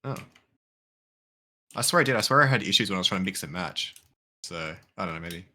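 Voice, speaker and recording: A man's voice: low-pitched, expressive, brisk, bright, forceful tone. A man chatting casually while streaming a modded game, rambling and swearing loosely. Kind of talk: casual gaming chat.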